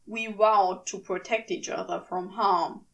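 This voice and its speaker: Lady doing a dry weary guy, dropped lower, cool and reproachful, audiobook character, mic bit far. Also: steady tone, measured pace, vocal fry, highs cut off. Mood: solemn, matter-of-fact. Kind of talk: fan fiction audiobook character voice.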